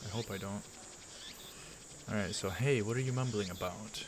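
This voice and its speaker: Low-voiced man reading over noticeable noise. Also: moderate pace. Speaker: let's play host. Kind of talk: reading a visual novel aloud with casual asides.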